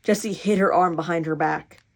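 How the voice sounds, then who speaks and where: A woman reading a children's picture book aloud in an expressive, dramatic way with excited asides. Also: lowish voice, normal speed. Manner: animated, dramatic, cheering reader.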